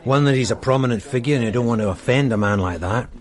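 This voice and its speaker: An actor playing a calm, shrewd male police sergeant in a radio drama, explaining things in a measured way. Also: medium pitch, lightly acted.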